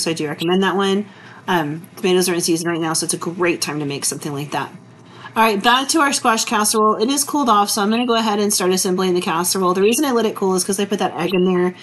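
A woman, chatting relaxed and easygoing as she narrates her cooking vlog. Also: lowish voice, a little hiss or hum, rough recording. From Texas. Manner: chatty, relaxed, full of fillers. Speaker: vlogger. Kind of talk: casual kitchen vlog narration.

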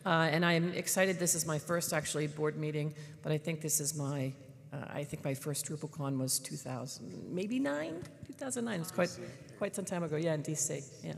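A woman's voice: sits low, normal speed, creaky, hardly any pauses. A woman introducing herself at a meeting in an upbeat way. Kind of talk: self-introduction. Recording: long hall reverb.

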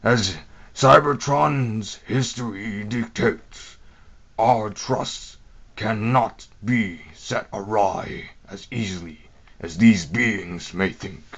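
A man voicing a solemn, weary robot leader dictating a war journal in grave, halting phrases, as in an animation audition. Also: on the low side, fairly bright tone, heavily acted. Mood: grave, troubled. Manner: grave, halting, dramatic pauses. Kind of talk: dramatic monologue for animated film audition. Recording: dull, lo-fi home microphone.

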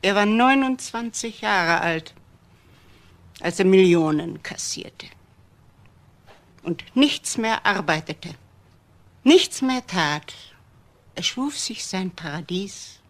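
An older actress playing a wealthy, bitter widowed mother in a German crime radio drama, speaking deliberately and dramatically with pauses. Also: medium pitch, animated. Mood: bitter, lamenting. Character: old wealthy widowed mother, bitter about her son.

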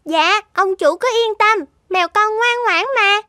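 A woman's voice: extremely high pitch, measured pace, bright, forceful tone, slight background noise, heavily acted. A woman voicing a naive, vain young kitten in a children's cartoon, childlike and cutesy, eager to please. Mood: sweet, eager. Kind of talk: animated children's cartoon dubbing.

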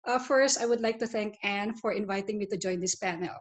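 A woman's voice: medium pitch, fairly quick. A middle-aged woman from the Philippines, giving a formal, polite self-introduction as a panelist over a video call. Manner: formal, polite, carefully paced. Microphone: webcam on a video call.

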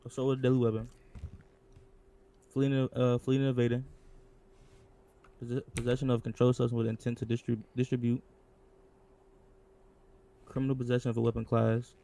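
A man's voice: medium pitch, flat delivery, brisk, gravelly, long pauses, dark tone. A man improvising game roleplay as a police interrogator, talking in casual slang in a laid-back, repetitive way. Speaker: role-player acting as police interrogator.

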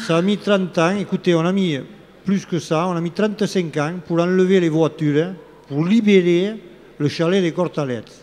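Hesitant older man, slightly high voice. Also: moderate pace, a little mellow. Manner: hesitant, many fillers and restarts. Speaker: local elected official. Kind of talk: live talk-show interview. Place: open studio set at a trade fair hall. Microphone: red handheld microphone. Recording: faint fair hall ambience.

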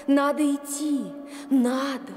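A woman's voice: high-pitched, steady tone, slow pace, darkish tone, soft background music. An actress playing a tender, dreamlike woman, insistently calling in a radio drama. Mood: tender, haunting. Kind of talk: Soviet radio drama acting. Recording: long reverb, faintly noisy old recording.

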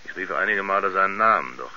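Rural sheriff, low voice, folksy, halting, puzzled testimony, radio drama, faint noise. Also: male voice, lively intonation, sharp tone, heavily acted. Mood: puzzled, matter-of-fact. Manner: folksy, halting, thoughtful witness account. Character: plain-spoken rural American sheriff giving testimony. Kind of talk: 1970s German radio play acting. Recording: slightly dull, faintly noisy 1970s radio recording.

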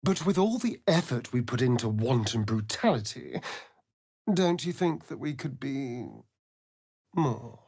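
An actor playing a vain, sardonic, centuries-old vampire spawn in a video game, with an arch, drawling, flamboyant delivery. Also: male voice, medium pitch, expressive, unhurried, darkish tone, slightly rough, dry recording. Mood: wry, musing. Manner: arch, drawling, swinging from purrs to shrieks. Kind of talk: theatrical video game character acting.

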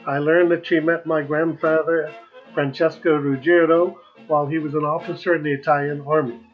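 An American man in his seventies tells a personal travel story as narrator. His voice sits a little higher than most men's, and music plays along with it. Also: little pitch movement, moderate pace, phone-quality sound. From United States. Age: 70s.

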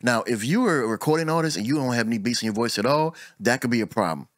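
A man's voice: mid-range voice, fast talker, dry recording, studio quality. A middle-aged man, an audio engineer, talking casually to camera about recording vocals, repeating words as he goes. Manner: relaxed, talks with his hands, repeats words as he goes. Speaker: audio engineer and channel host. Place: small room at home. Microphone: desk mic on boom arm.

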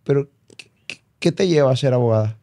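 A man's voice: mid-range voice, animated, a little fast, gravelly, warm tone. A Puerto Rican man in his forties hosting a studio interview in a chatty, teasing way with plenty of fillers. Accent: Puerto Rican Spanish. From Puerto Rico. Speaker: podcast host. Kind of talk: casual interview. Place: dark studio set. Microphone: boom-mounted studio mic.

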